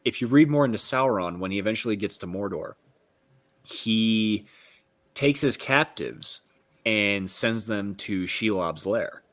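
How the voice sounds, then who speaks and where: A man with a fairly low voice co-hosts a casual book discussion. He explains connections analytically, stopping and restarting as he works through each point. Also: expressive, a little slow, a bit bright.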